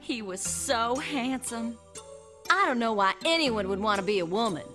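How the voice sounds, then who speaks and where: A high, youthful voice over clear background music. It is a brash androgynous alien in an anime dub, quick to defy anyone who doubts them. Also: female voice, expressive, pushed and bright. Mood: earnest, wistful. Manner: brash, defiant. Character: fiery young androgynous alien who insists on being male. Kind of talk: English anime dub acting. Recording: music behind the dialogue.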